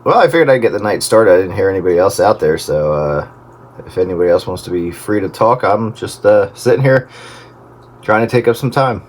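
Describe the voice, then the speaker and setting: A man hosting a casual radio hobbyist chat over CB, speaking haltingly over a two-way radio link. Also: on the low side, lively intonation. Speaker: host of a radio hobbyist round-up. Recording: very noisy CB radio transmission.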